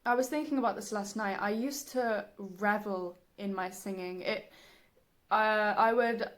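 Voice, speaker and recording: A young woman with a soft, breathy voice, talking reflectively and haltingly in a personal vlog. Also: mid-range voice, fairly even intonation. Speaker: singer, video creator.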